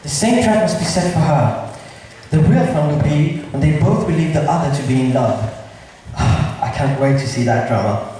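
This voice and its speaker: A man's voice: a little high, moderate pace, few pauses, dark tone, close to an everyday voice. A man in an amateur school play, playing a nobleman slyly plotting a matchmaking trick. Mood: mock sympathy. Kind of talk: amateur school stage acting. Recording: distant mic in echoey hall, noisy.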